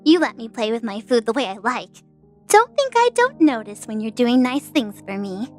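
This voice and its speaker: A woman's voice: a little high, big pitch swings, moderate pace, darkish tone, faint music behind. A woman playing a bratty, playful young reaper assassin with a teasing, pouty delivery in an audio drama. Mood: playful, fond.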